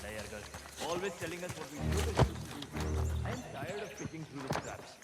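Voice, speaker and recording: A man's voice: on the high side, big pitch swings, fairly quick. A man playing an impatient, grumbling insurgent thug who complains and argues in a video game. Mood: impatient, resentful. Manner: complaining, argumentative. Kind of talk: video game cutscene acting. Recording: loud music behind.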